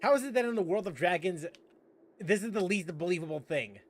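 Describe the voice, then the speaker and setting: A man narrates his let's-play with sarcastic disbelief. His voice is high and swings a lot between highs and lows, with faint noise underneath. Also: lively intonation, a little fast. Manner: sarcastic, incredulous. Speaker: gaming channel host.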